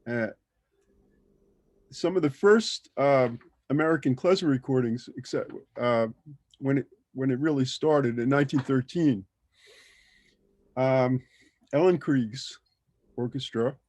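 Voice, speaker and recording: Male panelist, mid-pitched and dry, halting, thinking-aloud delivery. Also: darkish tone, no room sound. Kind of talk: casual panel discussion. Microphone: video call.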